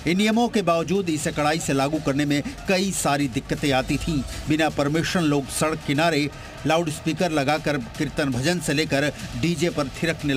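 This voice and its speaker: Male news narrator, somewhat high pitch, scripted report, dramatic emphasis, background music. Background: music bed.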